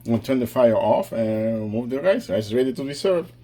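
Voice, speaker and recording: A man's voice: medium pitch, lively intonation, brisk, dark tone. A man casually talking viewers through a home cooking vlog, chatty and addressing them directly. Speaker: cooking vlogger.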